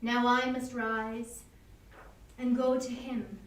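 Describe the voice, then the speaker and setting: A woman reciting a poem as a mournful country woman haunted by omens of death, in a deliberate, lyrical, solemn way. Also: fairly high pitch, flat delivery. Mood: mournful, resigned. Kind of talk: dramatic poetry recitation. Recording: echoey room, distant mic, faint noise.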